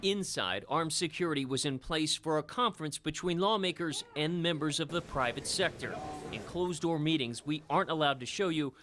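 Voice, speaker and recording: A man's voice: fairly high pitch, brisk, a bit bright, a little hiss or hum. A man with an American accent reporting as an investigative television news reporter in a scripted style.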